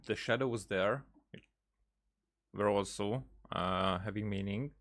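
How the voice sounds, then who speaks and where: Halting, lowish-voiced male game streamer. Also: a bit bright. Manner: hesitant, halting, thinking aloud. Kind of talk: casual gameplay commentary.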